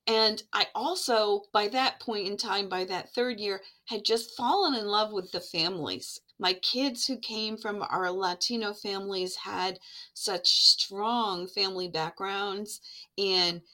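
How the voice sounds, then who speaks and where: A woman with a bright, forward voice shares classroom memories on a podcast. She talks in an earnest, reflective way, building each story step by step at a mid pitch. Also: measured pace. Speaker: guest, English learner program administrator.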